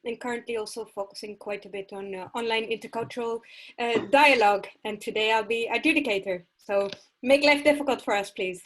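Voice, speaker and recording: Dutch woman, kinda high voice, confident adjudicator intro. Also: brisk, low-quality recording. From Netherlands. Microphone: video call.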